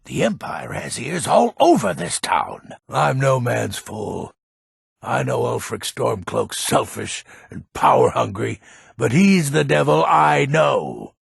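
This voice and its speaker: A crotchety, distrustful old man from a fantasy video game. His voice is full of gravel and fry, with a worn, husky rasp. He grumbles warily and emphatically, and his pitch swings around in a very animated way. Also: slow pace, no echo, studio quality, exaggerated voice. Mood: defiant, grumbling. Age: older. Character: grumpy, suspicious old male villager in a fantasy game.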